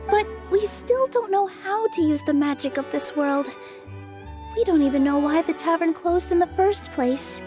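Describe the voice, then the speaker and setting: A kind young heroine in a fantasy game. Her voice is very high, gentle and earnest, and music plays clearly behind it. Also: moderate pace. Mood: unsure. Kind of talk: video game voice acting.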